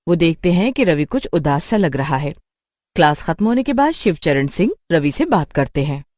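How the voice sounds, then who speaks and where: A woman's voice: low voice, very animated, normal speed, darkish tone, no room sound, studio quality. A woman reading scripted narration for a children's cartoon story as its narrator.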